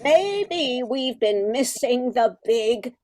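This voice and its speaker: A woman's voice: fairly high pitch, expressive, drawn-out words, a little mellow. A woman playing a wistful, genteel old Christmas carol come to life, with theatrical delivery in a radio play. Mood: hopeful. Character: wistful, prim old female Christmas carol sheet music.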